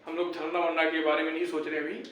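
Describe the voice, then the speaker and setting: A man's voice: fairly high pitch, flat delivery, fast, fairly bright tone, a little hiss or hum. A young man addresses his viewers like brothers. He is insistent and repeats his points as he advises and rallies them. The sound is heavily echoing and distant from the microphone. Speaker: YouTube exam-update presenter. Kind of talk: informal advice and rallying talk to viewers. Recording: very echoey room, distant microphone.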